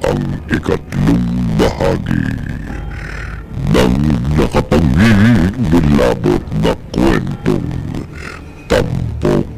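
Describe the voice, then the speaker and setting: A man playing a sinister horror storyteller on a radio drama, gravelly and deep, with a drawn-out, theatrical, ominous delivery. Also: big pitch swings, full character voice. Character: sinister slow-talking horror storyteller with a menacing laugh. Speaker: narrator and host of a horror radio series. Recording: loud music under the voice.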